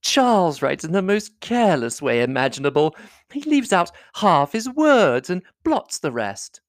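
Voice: medium pitch, sing-song, normal speed, dry recording, clean studio sound, voice pushed up, lightly acted. A reader voicing a fawning young society lady in a classic novel audiobook, effusive and coquettish. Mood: teasing.

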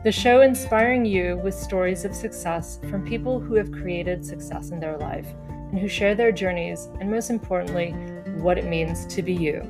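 A middle-aged woman, a life coach hosting a podcast, reading a scripted intro and asking questions. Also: on the low side. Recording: music bed under voice.

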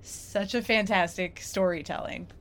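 A woman's voice: medium pitch, steady tone, a little slow, a bit bright, slight background noise. A woman, chatting casually and animatedly as a podcast co-host, with a giggly manner. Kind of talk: casual chat.

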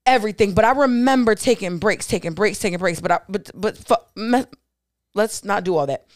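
A woman on a casual podcast chat, talking candidly and profanely in animated stories. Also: mid-range voice, brisk, no echo, clean studio sound. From Puerto Rican family.

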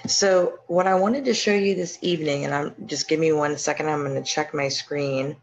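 A hoarse woman talks in a loose, chatty way as she walks viewers through her screen on a live stream, full of ums and quick restarts. Her voice sits mid-pitch, and the sound is a little dull and lo-fi, like a laptop mic. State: hoarse, slight sore throat. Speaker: podcast host and online business coach. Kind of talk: casual live-stream screen-share walkthrough.

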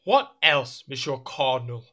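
A man's voice: mid-range voice, big pitch swings, a little slow, sharp tone. An actor playing a petulant, imperious young king in an audio drama, whiny and theatrical. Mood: haughty, impatient. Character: petulant, excitable young French king, easily outraged.

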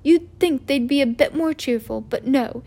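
Woman doing a strict snappy witch teacher, high and loud, impatient. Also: normal speed. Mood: irritable. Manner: clipped, sharp, impatient.

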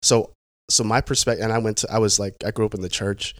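A young man speaks in a low voice, easygoing but halting, restarting phrases and leaning on fillers as he tells his story. The sound is dry and close, with no room behind him. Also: fairly even intonation, a bit bright, no room sound. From Pacific Northwest, United States. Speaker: guest. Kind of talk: casual conversational interview.

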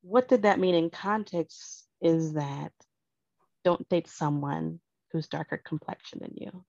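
A woman speaks in a measured, earnest way, thinking each point through as she goes. Her voice sits a little low. The sound is dry and slightly muffled, as if from a plain lo-fi mic. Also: gravelly, a little mellow, no room sound. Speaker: guest parent. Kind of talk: casual conversation.

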